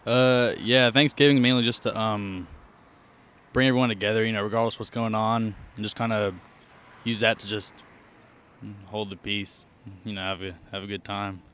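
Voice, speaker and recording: A man answering as a guest, hesitant and trailing off quietly, worried about saying something dumb. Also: on the low side, fairly even intonation, moderate pace, slight background noise.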